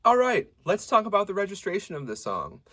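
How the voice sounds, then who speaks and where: A man with a medium-low voice teaching a singing lesson, explaining technique clearly and stopping to sing short examples. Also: fairly quick. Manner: lively, demonstrative teacher who breaks into sung examples. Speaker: vocal coach.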